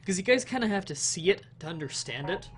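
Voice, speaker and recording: A man's voice: high voice, expressive, fast, fairly bright tone, soft background music. Sick young man, nasal and rambling. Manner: rambling, explains game mechanics with fillers and restarts. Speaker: game streamer. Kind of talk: casual let's-play commentary.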